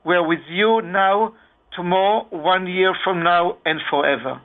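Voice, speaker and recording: Brief: earnest Israeli-accented male radio guest, slightly high voice, phone-line sound. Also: measured pace. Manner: earnest, many fillers and restarts. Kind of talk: radio interview.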